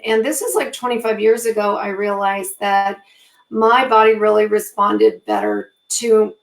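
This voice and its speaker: Hoarse woman hosting a live stream. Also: mid-range voice, reverberant, poor audio. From Southern California. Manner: halting, many fillers. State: losing her voice, clearing her throat. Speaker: co-host of a live cooking show. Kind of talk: live-streamed casual chat.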